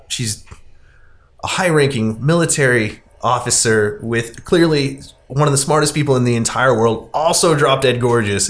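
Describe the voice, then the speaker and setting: A man hosting a podcast, recapping and discussing a film in a casual, rambling, conversational way. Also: medium pitch. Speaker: podcast host.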